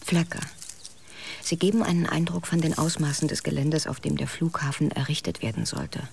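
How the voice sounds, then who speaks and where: An actress playing an observant investigator in a German radio drama, with measured, probing speech. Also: female voice, sits low, creaky, a little mellow, slightly rough, full character voice. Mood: sober, observing. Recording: noisy outdoor background with birds.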